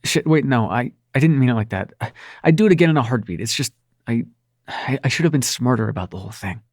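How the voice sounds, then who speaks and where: Man acting gravelly, flustered, smitten nightmare prince. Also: medium pitch, expressive, darkish tone, no room sound, studio quality. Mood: exasperated, self-blaming. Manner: halting, stammering, sweary and sardonic. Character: male supernatural prince of nightmares, brash, flustered, smitten lover. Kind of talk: intimate audio roleplay acting.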